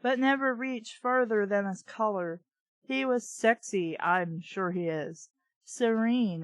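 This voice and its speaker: A woman's voice: medium pitch, no echo. A woman reading fiction aloud and breaking in with sarcastic, irreverent, exasperated commentary. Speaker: book review host.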